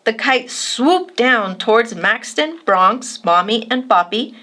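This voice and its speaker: A woman hosts a children's read-aloud. Her voice is fairly high, bright and very animated, and she addresses young listeners directly with expressive narration. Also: takes it slowly. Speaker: children's storybook reader and host.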